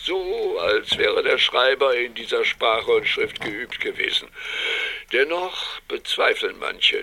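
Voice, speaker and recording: Pompous, irascible professor, creaky and sharp. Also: male voice, medium pitch, full character voice. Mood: lecturing, self-important. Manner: pompous, snappish, lecturing. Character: irascible, self-important male professor, manuscript researcher. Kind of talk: German audio drama acting.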